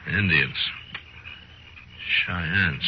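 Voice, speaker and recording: An actor playing a stern, fearless frontier marshal in a 1950s radio western, speaking in a terse, dry, hard-boiled way. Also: male voice, sits low, drawn-out words, noisy background. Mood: firm, defiant. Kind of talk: 1950s radio drama acting and first-person narration. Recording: muffled old radio recording with music.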